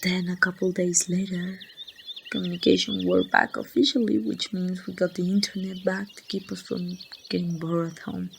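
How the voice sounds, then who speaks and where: Girl voice acting a grieving survivor w mexican accent, hushed asmr monologue, slow n halting, faint birdsong behind. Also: lowish voice, creaky. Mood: subdued, hopeful. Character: grieving woman survivor confiding softly in lost loved one. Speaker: amateur voice actor. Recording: faint background noise with birdsong.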